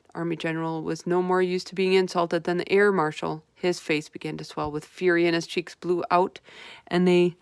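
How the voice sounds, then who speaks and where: Lady reading a kids' book aloud, steady and clear, dry close mic. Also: on the low side, moderate pace, dry recording. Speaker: reader.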